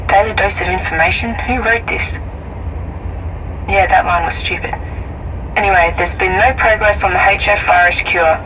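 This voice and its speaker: Female emergency broadcast announcer for audio drama, actress official yet wry, radio-filtered, distant, clearly noisy. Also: medium pitch, fairly even intonation, normal speed, pauses often. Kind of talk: scripted radio public-service bulletin.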